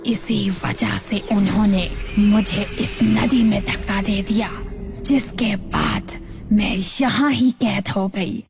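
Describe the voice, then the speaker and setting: A woman voicing an eerie but kind-hearted witch in a children's cartoon story, sorrowful and breathy, with drawn-out words. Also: mid-range voice, music in the background.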